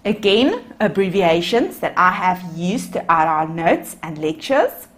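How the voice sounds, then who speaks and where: A South African woman lecturing in a slow, unhurried way, her pitch rising and falling a lot as she explains. She is a native Afrikaans speaker speaking English, talking straight to her students in a recorded voice-over for slides. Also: sing-song. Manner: explanatory, addresses students as guys. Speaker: accounting lecturer. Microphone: voice-over on screen recording.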